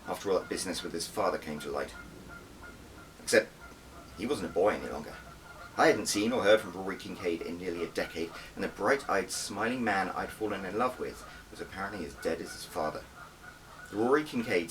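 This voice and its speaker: A man narrates a first-person novel in a deep register. His delivery is scripted, dramatic and emphatic. The recording is slightly distant, with a light hiss underneath. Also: fairly bright tone. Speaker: author reading own audiobook.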